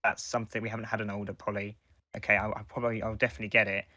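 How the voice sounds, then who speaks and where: A man co-hosting a casual fan podcast, talking in a halting, hesitant way as he reviews. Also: on the low side, level tone, pushed and bright, no room sound, clean studio sound. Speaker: podcast co-host.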